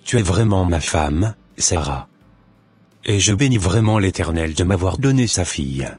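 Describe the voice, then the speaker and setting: Man voicing a devout young cartoon suitor, deep, husky, measured and earnest. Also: lively intonation, darkish tone, faint music behind. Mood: devoted.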